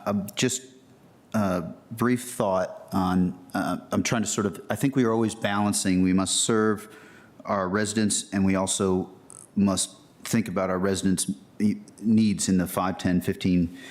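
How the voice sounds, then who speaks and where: An American man on a county board, giving halting remarks at a public hearing and often repeating words as he restarts. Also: deep voice, fairly even intonation.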